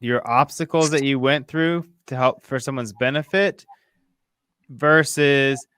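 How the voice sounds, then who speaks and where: Smooth-voiced male podcast co-host. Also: mid-range voice, a little slow, fairly bright tone, dry recording, studio quality. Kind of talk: casual interview chat.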